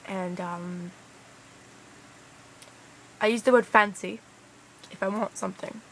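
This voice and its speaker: Young woman, creaky put-on British accent. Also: medium pitch, steady tone, takes it slowly, low-quality recording. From Canada. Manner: crude, slangy, hesitant. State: tired. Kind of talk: casual rambling vlog.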